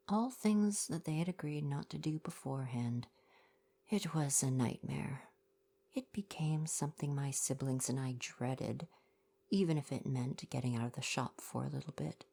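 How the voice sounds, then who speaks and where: Low, creaky-voiced kind merfolk shopkeeper, played by a woman. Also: a little mellow, exaggerated voice. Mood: wry, exasperated. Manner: polite, chatty, helpful customer-service phrasing. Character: kind young merfolk gift-shop keeper, gently sardonic. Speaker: ASMR roleplay performer. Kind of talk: ASMR roleplay, soft-spoken.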